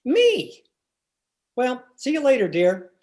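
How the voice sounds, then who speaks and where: A woman's voice: sits high, huge pitch swings, slow pace, a little mellow, heavily acted. A woman playing a teasing, stubborn husband in old-time radio comedy, with a theatrical, wry and exasperated delivery. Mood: firm, wry. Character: George, teasing, stubborn husband of a 1940s housewife. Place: remote video-call production.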